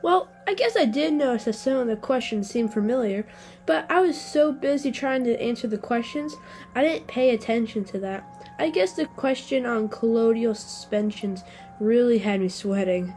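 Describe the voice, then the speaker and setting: A woman's voice: on the high side, moderate pace, darkish tone, soft background music, natural voice. A woman playing an anxious, bookish young boy in a family audio drama, hesitant and meek. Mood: nervous, hesitant. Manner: hesitant, meek, self-deprecating.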